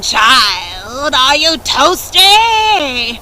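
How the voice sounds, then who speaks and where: A woman voicing an old male winter spirit from a storybook, calling out in slow, drawn-out words. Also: sits high, big pitch swings, sharp tone, slight background noise, heavily acted. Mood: calling slowly.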